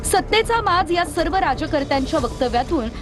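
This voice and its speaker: A woman's voice: very high voice, animated, a little fast, over music. A woman news anchor reading a scripted news report.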